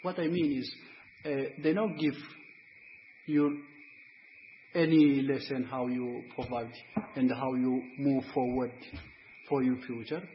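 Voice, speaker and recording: A man's voice: medium pitch, steady tone, slow pace, slight background noise. A man from Ethiopia, a student speaking at a panel discussion in broken phrasing with many fillers. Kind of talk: unscripted discussion. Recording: dull lo-fi recording, faint ambience.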